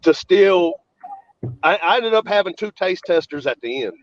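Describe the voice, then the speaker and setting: A man's voice: high-pitched, moderate pace, muffled recording, a little hiss or hum. A man hosting a casual chat, congested and sniffly as he recovers from illness, rambling through his stories. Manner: rambling, relaxed storytelling. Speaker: host.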